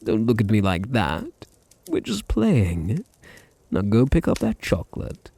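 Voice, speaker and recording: A man with a deep British voice playing a possessive, dominant vampire master in an intimate ASMR roleplay, teasing and condescending, with a gravelly, husky murmur. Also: huge pitch swings, mellow, no echo, heavily acted. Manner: slow, teasing, condescending, drops into breathy murmurs.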